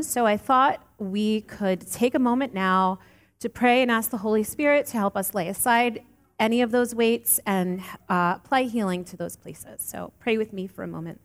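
Woman in her late thirties preaching, earnest with gentle humor, reverberant church sound. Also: mid-range voice, moderate pace. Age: late 30s. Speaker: lay preacher. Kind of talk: sermon. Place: church sanctuary.

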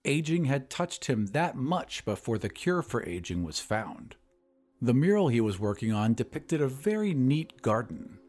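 A man's voice: medium pitch, sing-song, measured pace, a little mellow. A man hosting a storytelling podcast, reading scripted narration. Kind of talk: scripted audiobook-style narration.

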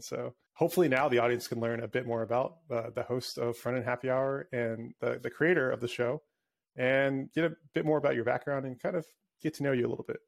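Creaky-voiced man interviewing on a podcast. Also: medium pitch. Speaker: podcast panelist acting as interviewer. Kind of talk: casual interview.

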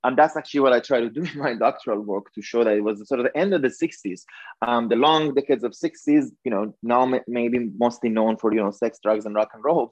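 A man's voice: medium pitch, dry recording, lo-fi sound. A man in his thirties from Iran, speaking as a guest author; thoughtful, with frequent light laughs. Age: 30s. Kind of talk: casual interview.

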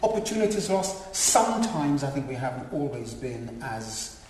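A middle-aged man speaking on a panel, earnest, with halting restarts. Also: medium pitch, very animated, darkish tone, a little hiss or hum. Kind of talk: panel discussion remarks. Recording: echoey hall with long reverb.

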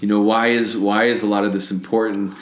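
An American man hosting a podcast, chatting in a relaxed way and telling stories with frequent restarts. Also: on the low side, a little fast, roomy sound. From United States. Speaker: podcast host. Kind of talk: casual conversational podcast.

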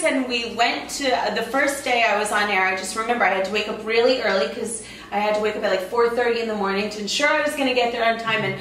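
A woman's voice: on the high side, distant mic, a little hiss or hum. Chatty woman, echoey off-mic sound. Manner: animated storyteller with frequent "like" fillers. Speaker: interview guest. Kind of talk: casual interview chat. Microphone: podcast microphone across a table.

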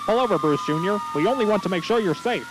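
A man's voice: high-pitched, expressive, quick pace, noisy background. A man voicing an earnest, over-polite, pleading motorcycle policeman in a cartoon. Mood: urgent. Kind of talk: cartoon voice acting.

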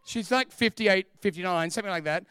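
Animated Australian man, high clear voice. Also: measured pace, dry recording, clean studio sound. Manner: animated, chatty, laughs at own story. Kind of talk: humorous storytelling sermon.

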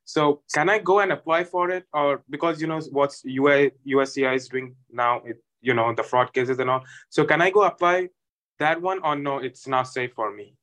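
Bright, forceful male caller. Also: medium pitch, normal speed. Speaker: caller asking a question. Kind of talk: informal conversational questioning on a phone-in.

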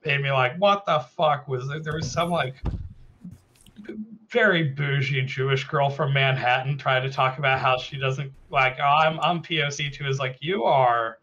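A man in a casual group chat, trolling the others in a provocative, deadpan way. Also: medium pitch, normal speed, muffled recording.

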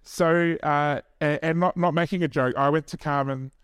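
A man's voice: fairly high pitch, fairly even intonation, normal speed, a bit bright, clean tone, no room sound. Man, New Zealand accent, church preacher, chatty self-mocking humour, dry close sound. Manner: self-deprecating and joking, chatty asides to the audience. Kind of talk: informal sermon to a congregation. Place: church service.

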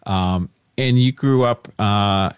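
A middle-aged man hosting an interview, asking brief relaxed questions and tossing in short replies. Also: on the low side, slow, smooth, no room sound, dull audio. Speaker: interview host. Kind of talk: casual interview chat.

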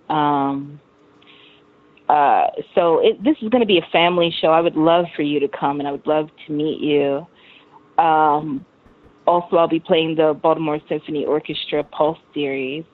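The sound is thin and band-limited, like a phone or radio link. An American woman of about thirty speaks in a low-pitched voice. She chats casually and effusively as a musician guest, with plenty of slang. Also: narrow, tinny audio. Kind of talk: casual chat.

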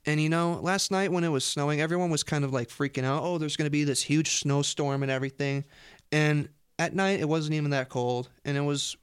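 A man's voice: a little high, fairly even intonation, a little fast, clear, resonant tone, no room sound, studio quality. A young man hosting a casual radio chat in an upbeat, effusive way. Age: young adult. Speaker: college radio show host. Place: radio studio. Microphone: close studio microphone.

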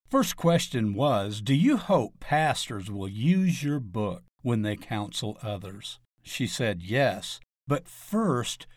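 A man narrating a scripted question-and-answer article, reading deliberately and announcing each part as an article reader. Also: mid-range voice, a little mellow, dry recording, studio quality.

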